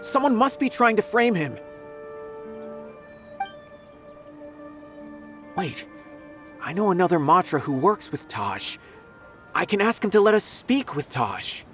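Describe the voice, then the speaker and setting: A man's voice: high-pitched, big pitch swings, moderate pace, fairly bright tone, soft background music. A man playing an earnest, loyal young male officer in a video game, speaking politely and emphatically, easily flustered. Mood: distressed, defensive.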